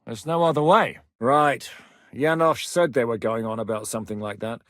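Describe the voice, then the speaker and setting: An actor playing a hardened, foul-mouthed war captain in a video game, gruff and commanding. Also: male voice, medium pitch, steady tone, normal speed, no room sound, studio quality, natural voice. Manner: gruff, curses freely, barks orders. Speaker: military commander.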